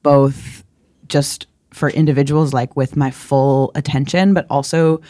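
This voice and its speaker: A woman's voice: a bass voice, steady tone, unhurried, dark tone, no echo, clean studio sound. A woman co-hosting a podcast who is a singer, talking expressively and laughing easily in casual chat.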